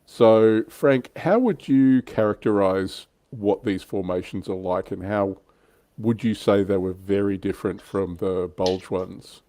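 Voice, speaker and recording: A man's voice: lowish voice, a little slow, warm tone, dry recording. A man hosting a casual livestream chat about tabletop wargaming in an informal, conversational way. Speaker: livestream host.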